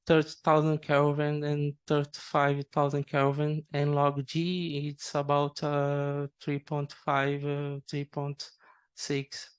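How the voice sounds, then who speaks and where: A man answering audience questions after his conference talk, hesitantly and with frequent pauses. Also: a little high, clean tone, no echo. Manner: hesitant, many fillers and restarts. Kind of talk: academic Q&A.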